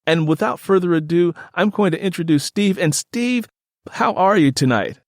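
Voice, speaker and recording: A man's voice: high-pitched, lively intonation, moderate pace, no room sound. Emphatic male podcast host, dry close sound. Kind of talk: enthusiastic conversational interviewing.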